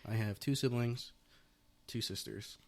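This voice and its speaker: Young male podcast host, mid-pitched, casual and rambling. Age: 20s. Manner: rambling, playful, quick bursts of speech. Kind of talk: casual interview chat.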